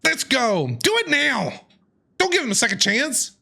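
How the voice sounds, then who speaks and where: A man giving casual, animated, exclamatory reactions as he streams a video game. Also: very high-pitched, unhurried, vocal fry. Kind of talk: excited gameplay reaction commentary. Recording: game music behind voice.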